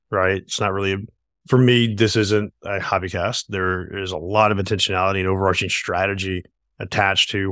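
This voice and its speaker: An enthusiastic man hosts his podcast, rambling through his points and often checking in with "right?". His voice sits low and sounds dry and close, with no room around it. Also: a bit bright, dry recording. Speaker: podcast host. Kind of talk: casual podcast interview.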